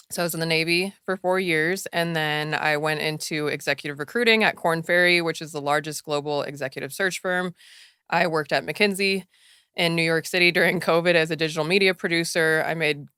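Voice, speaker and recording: Upbeat American woman guest, 30s, crisp bright tone, dry close studio mic. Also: deep voice, no room sound. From Los Angeles, US. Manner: upbeat, laughs often, lists things quickly. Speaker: podcast guest. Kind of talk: casual interview chat. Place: podcast studio.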